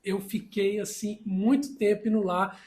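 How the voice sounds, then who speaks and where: A high-pitched man from Brazil, talking casually as a podcast host. He tells stories in a chatty way and laughs easily. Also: level tone, a little slow, darkish tone.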